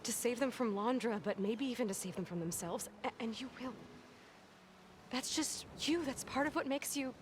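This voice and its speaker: A woman playing a determined, compassionate young huntress in a game cutscene, talking gently and sincerely with small hesitations. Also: fairly high pitch, moderate pace, background noise, natural voice. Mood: urgent encouragement. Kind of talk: video game cutscene acting. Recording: clear ambient game sound behind voice.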